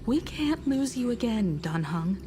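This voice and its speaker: A woman playing a caring, composed crewmate in a video game, speaking gently and with concern. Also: on the high side, big pitch swings, a little slow, noisy background. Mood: tender concern. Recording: loud music under the voice.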